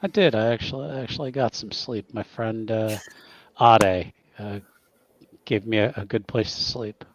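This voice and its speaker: A man playing a tabletop roleplaying game, improvising casually in a halting, stammering way. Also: fairly low pitch, lo-fi sound, slight background noise.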